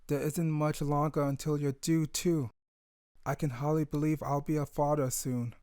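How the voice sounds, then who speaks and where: A man's voice: a little high, level tone, normal speed, a little mellow, clean tone, no room sound, studio quality, natural voice. A man voicing a tender, emotional pony stallion, a young father, in a dramatic story reading. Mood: excited, loving.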